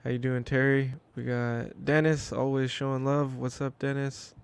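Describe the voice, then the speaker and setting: A man's voice: medium pitch, level tone, moderate pace, gravelly, clean tone. A man co-hosting a casual livestream, reading out chat names and joking around loosely with some casual swearing, speaking over a video call. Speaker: co-host.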